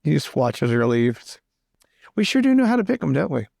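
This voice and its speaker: Chatty guy playing an rpg, creaky mid voice, really dry sound. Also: a little high, sing-song, normal speed, a little mellow, no room sound. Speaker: tabletop roleplay player. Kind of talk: improvised play, switching between narration and dialogue.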